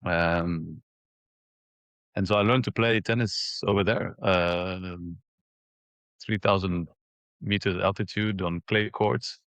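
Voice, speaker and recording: A man in his forties from Ethiopia and Mauritius reminiscing in a casual interview, haltingly, repeating words as he thinks. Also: sits low, fairly even intonation, fairly bright tone, no room sound. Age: 40s. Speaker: interview guest, former professional tennis player.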